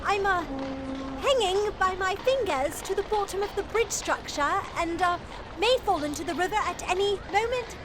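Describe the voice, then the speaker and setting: An actress playing a spirited Victorian bride and sharpshooter in a radio drama, with a theatrical, exclamatory delivery. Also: female voice, extremely high pitch, lively intonation, normal speed. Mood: politely understated. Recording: loud dramatic music bed. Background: music.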